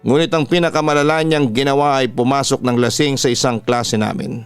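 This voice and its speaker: A man's voice: medium pitch, steady tone, fairly quick, clear, resonant tone, dry recording. A man with a Filipino accent, a radio announcer reading a scripted first-person story as host. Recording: music playing behind the voice. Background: background music.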